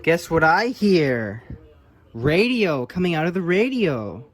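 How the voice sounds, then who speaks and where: A man's voice: a little high, sing-song, takes it slowly. Casual man thinking aloud while demonstrating. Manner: informal, offhand, thinking aloud. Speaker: hobbyist restorer presenting his own repair video. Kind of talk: casual unscripted hands-on demonstration commentary.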